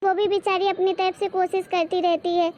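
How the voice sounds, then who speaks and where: An extremely high, childlike female cartoon voice, acted by a woman. The delivery is emphatic and exclamatory, full of devotion, as in a family moral story. Faint noise sits behind it. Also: flat delivery, normal speed, darkish tone. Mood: worried, defensive. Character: excited, devoted young daughter and her protective mother.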